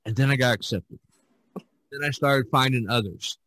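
A man's voice: medium pitch, moderate pace, a bit bright, dry recording. A man hosting a casual podcast discussion, telling his story in a rambling, emphatic way. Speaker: podcast host and artist.

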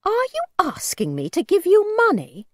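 A woman voicing a stern, suspicious mother in a storybook, curt, sharp and haughty. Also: fairly high pitch, huge pitch swings, slow pace, no room sound, studio quality, heavily acted. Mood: sharp, impatient. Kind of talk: storybook character voice.

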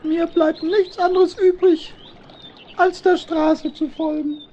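A woman's voice: extremely high pitch, level tone, slow pace, warm tone, muffled recording, slight background noise, heavily acted. A woman voicing a naive young male hedgehog runaway in a children's radio play, earnest and childlike. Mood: forlorn.

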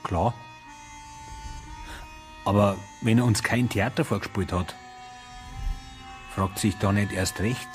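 A man's voice: lowish voice, slow, long pauses, faint music behind. An actor playing a level-headed, sceptical male police detective in a naturalistic radio drama. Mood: measured doubt.

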